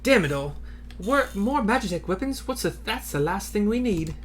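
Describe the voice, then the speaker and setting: A man's voice: high-pitched, big pitch swings, moderate pace, fairly bright tone, a little hiss or hum, pitched above the performer's own voice. A man voicing a frank female resistance fighter from a game, blunt, with an attempted Irish accent. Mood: exasperated. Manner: blunt, emphatic. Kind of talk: amateur read-aloud of game dialogue.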